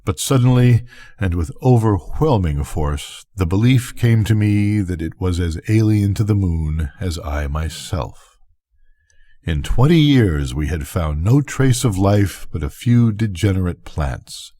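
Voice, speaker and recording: An older man reading a bedtime story as scripted narration, with measured, deliberate phrasing. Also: deep voice, lively intonation, dark tone. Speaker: reader of bedtime stories.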